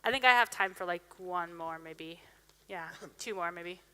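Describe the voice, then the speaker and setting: A woman speaker answering audience questions after her talk in a large hall, chatty and animated with lots of restarts. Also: fairly low pitch, normal speed, smooth. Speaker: conference speaker taking questions. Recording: reverberant hall sound.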